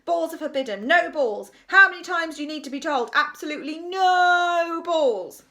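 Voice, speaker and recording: A woman voicing a pompous, hysterical male schoolteacher in a children's storybook, shouting and repeating himself frantically. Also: extremely high pitch, lively intonation, moderate pace, voice pushed up, exaggerated voice. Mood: exasperated. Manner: shouting, stammering, frantic repetition. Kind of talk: children's storybook read aloud.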